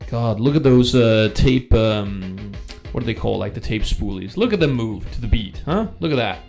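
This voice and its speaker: A man's voice: fairly low pitch, expressive, moderate pace. A man hosting a live music stream, chatting casually and rambling, often breaking off mid-sentence, into a studio microphone with no pop filter. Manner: rambling, self-interrupting, chatty. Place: room in Germany. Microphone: studio microphone without pop filter.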